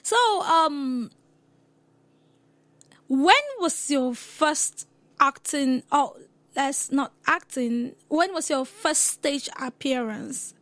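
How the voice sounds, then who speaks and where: Woman, high voice, radio interview host, casual chatty delivery, dry sound. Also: drawn-out words, a bit bright, no echo.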